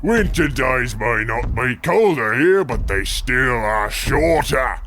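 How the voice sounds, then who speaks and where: A man's voice: medium pitch, expressive, slow pace, a bit bright, slight background noise. A man playing a heartless, miserly old landlord who taunts theatrically in an old-time radio comedy parody. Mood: taunting.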